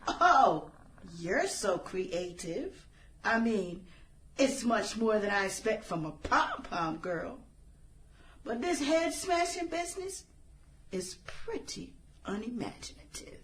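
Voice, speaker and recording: Slow, taunting vengeful woman, dramatically animated acted by a woman. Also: fairly high pitch, a little hiss or hum. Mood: mocking. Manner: sarcastic, theatrical, drawn-out phrasing with breathy whispers. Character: bitter, taunting adult woman, vengeful former high school outcast turned killer. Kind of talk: dramatic dialogue in satirical radio drama.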